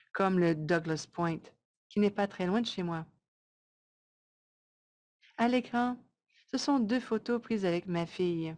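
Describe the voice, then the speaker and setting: Woman interpreting, halting phrases. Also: fairly low pitch, darkish tone. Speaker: interpreter for a webinar. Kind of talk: live interpretation of a presentation.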